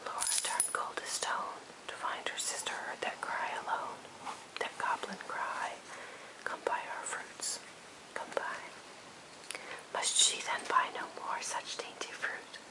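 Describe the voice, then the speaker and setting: A person reading a poem in an unvoiced ASMR whisper, phrase by phrase with gentle pauses. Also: poor audio. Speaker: ASMR reader.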